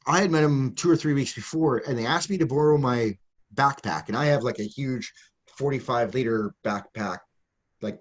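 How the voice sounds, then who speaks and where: A man from Canada tells stories in a relaxed podcast chat. His voice sits in the middle range, and he is animated and quick to laugh as he talks. He often restarts his sentences. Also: mid-range voice. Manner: animated storyteller, laughs mid-story, frequent restarts. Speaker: guest journalist. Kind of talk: casual storytelling chat.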